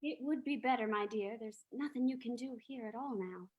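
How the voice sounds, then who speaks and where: A woman acts a sympathetic lady companion in an old radio mystery. She is composed and gently firm, a little high in pitch. The room rings with clear echo. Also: brisk, highs cut off, lightly acted. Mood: gently persuasive. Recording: echoey, roomy sound.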